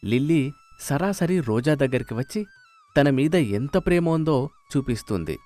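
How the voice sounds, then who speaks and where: A man's voice: mid-range voice, lively intonation, moderate pace, warm tone. A man narrating a scripted moral story as a storyteller, with music behind him. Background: faint music.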